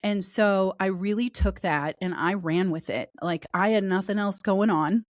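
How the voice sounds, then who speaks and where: A woman guest with a raspy voice from a cold, telling her story in a candid, talkative way during a casual interview. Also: medium pitch, unhurried, no echo, clean studio sound.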